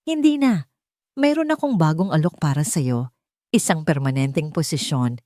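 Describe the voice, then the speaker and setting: A woman's voice: low-pitched, animated, moderate pace, mellow, dry recording, studio quality. Assured, earnest businessman acted by a woman. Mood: firm, earnest. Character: kind, decisive wealthy male businessman in a drama story.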